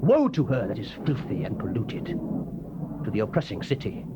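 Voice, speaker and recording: A man's voice: lowish voice, wildly swooping pitch, normal speed. A man with a British accent gives a solemn, theatrical, declamatory dramatized reading of the King James Bible. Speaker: dramatic scripture reader. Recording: noisy old cassette tape recording.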